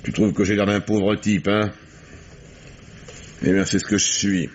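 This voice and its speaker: An actor playing a weary middle-aged husband who has been drinking and talks in a hesitant, rambling, self-pitying way, in an old radio play. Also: male voice, lowish voice, little pitch movement, a little slow, slight background noise, close to an everyday voice. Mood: rueful. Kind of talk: 1950s French radio drama acting. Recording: muffled old radio broadcast.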